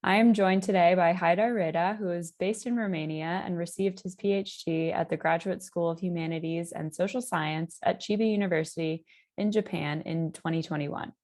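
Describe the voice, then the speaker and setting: Young female interviewer, slightly low voice, polite and formal delivery. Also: steady tone, moderate pace, a little mellow. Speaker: interviewer, research assistant. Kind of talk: scripted interview questions.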